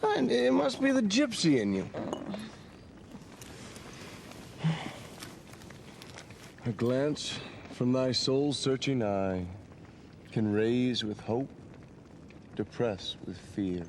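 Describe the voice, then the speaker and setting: A romantic young adventurer speaks in a mid-range voice. He is wistful and theatrical, like a dreamer in a dark game drama. Light noise sits behind the dialogue. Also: mid-range voice, sing-song, takes it slowly, vocal fry, heavily acted. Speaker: voice actor in a game cutscene.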